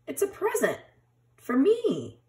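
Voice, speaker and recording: Woman playing a storybook male bear, grumpy but soft-hearted, drawn-out words, swinging pitch. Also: huge pitch swings, full character voice. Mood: pleasantly surprised.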